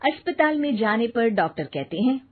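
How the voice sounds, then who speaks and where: A woman's voice: a little high, big pitch swings, moderate pace. Female storyteller, scripted linking narration, thin narrow-band phone-like recording.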